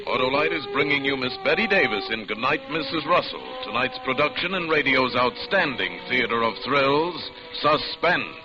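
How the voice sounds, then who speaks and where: A man's voice: medium pitch, expressive, moderate pace, hardly any pauses. A man announcing formally in the style of 1940s radio. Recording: dull vintage radio recording. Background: loud music.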